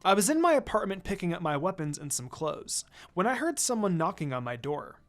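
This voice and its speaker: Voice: medium pitch, very animated, fairly quick. A narrator reading a scripted first-person story aloud, acting out bits of dialogue along the way. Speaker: fan fiction narrator.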